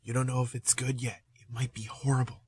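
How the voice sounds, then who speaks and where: A man's voice: medium pitch, flat delivery, brisk, darkish tone, husky. An actor playing an eager, lovestruck young man, earnest and casual, in a modern radio play. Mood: earnest, unsure. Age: young adult.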